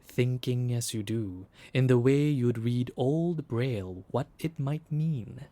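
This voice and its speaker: A man acting as a solemn guide in an audio drama, giving deliberate, hushed, poetic instructions. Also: medium pitch, close to an everyday voice. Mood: hushed, contemplative. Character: solemn male guide instructing an archival recorder in ruins. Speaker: narrator guide. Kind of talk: scripted audio drama narration.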